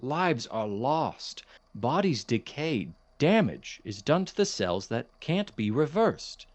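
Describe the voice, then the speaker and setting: A man playing a measured, kindly science professor in an audio drama, formal and precise, hesitant and gently reproving. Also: medium pitch, big pitch swings, no room sound. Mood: sceptical, firm.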